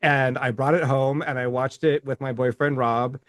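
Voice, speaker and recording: A man's voice: medium pitch, fairly even intonation, normal speed, a bit bright, no echo, clean studio sound. A middle-aged man co-hosting a movie podcast, rambling casually with restarts and swearing. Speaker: podcast co-host.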